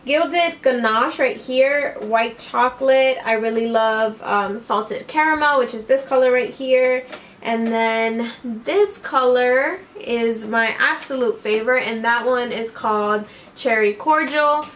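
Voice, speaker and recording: A woman's voice: on the high side, slow pace, a bit bright, slight background noise. A woman in her twenties reviewing makeup to camera in a casual, gushing way, saying "like" often, in a small room. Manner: gushing, upbeat, heavy use of "like" and "obsessed". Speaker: beauty vlogger. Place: small indoor room with string lights.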